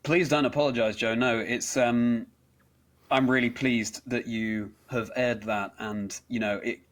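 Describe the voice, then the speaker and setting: A man's voice: mid-range voice, fairly even intonation, unhurried. A man hosting a conversational interview in an earnest, encouraging and effusive manner. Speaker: interview show host.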